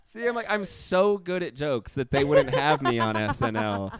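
Loud, laughing young man. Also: on the high side, big pitch swings, moderate pace, a crowd in the background. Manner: loud, joking, laughs often. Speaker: podcast co-host and stand-up comic. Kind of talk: casual chat. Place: living room.

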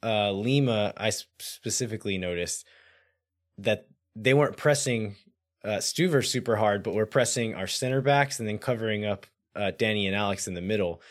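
A man co-hosting a casual sports podcast, his voice raspy and hoarse from yelling at a game, talking through match analysis with fillers. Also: fairly low pitch, normal speed. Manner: analytical, thinks aloud with fillers. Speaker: podcast co-host.

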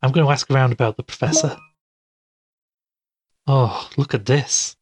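An actor playing an earnest, curious podcaster investigating a mystery in an audio drama, with a husky voice. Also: male voice, mid-range voice, normal speed, a little mellow, slight background noise, close to an everyday voice. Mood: thoughtful. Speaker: podcast host. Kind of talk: audio drama dialogue and narration.

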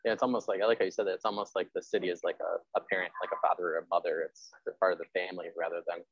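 Low voiced guy hosting a podcast, talks quick and rambles, kinda dull lo-fi sound. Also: flat delivery, breathy edge, dry recording. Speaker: podcast host and writer. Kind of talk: casual interview chat.

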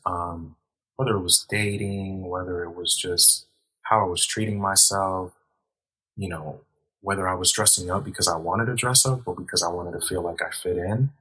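Husky, bright-voiced man talking candidly. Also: low-pitched, poor audio. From Dominican heritage, grew up in the US. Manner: candid, reflective, casual slang, many restarts. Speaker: guest. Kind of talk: casual podcast conversation.

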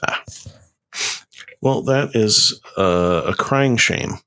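A man's voice: fairly low pitch, very slow, bright, forceful tone. A man co-hosting a podcast in casual chat, hesitant and full of fillers. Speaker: podcast co-host.

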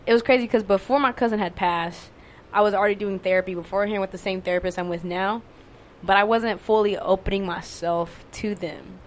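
A young woman with a fairly monotone voice, talking candidly and casually, swearing and using lots of fillers. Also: medium pitch, fairly quick. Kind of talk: casual conversation.

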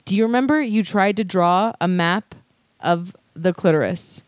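A woman with a deep, raspy voice co-hosting a podcast, dry and teasing in casual banter. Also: normal speed, no room sound. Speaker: podcast co-host.

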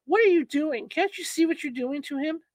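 A woman reading aloud and voicing a desperate young wife who shouts and pleads in terror for her family. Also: high voice, normal speed, no echo, lightly acted. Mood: pleading.

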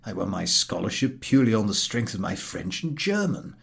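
A man's voice: on the low side, very animated, brisk, vocal fry, a bit bright, heavily acted. A man playing a self-important, baffled male scholar in a comic audiobook, pompous and aggrieved. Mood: puzzled, aggrieved.

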